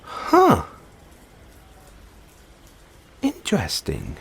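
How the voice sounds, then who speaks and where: A man playing an excitable, affectionate mad scientist boyfriend in an intimate audio roleplay, musing haltingly to himself and his partner. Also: on the low side, vocal fry, a little hiss or hum, exaggerated voice. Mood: fascinated, geeky. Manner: musing, halting, chuckling asides, drawn-out reactions.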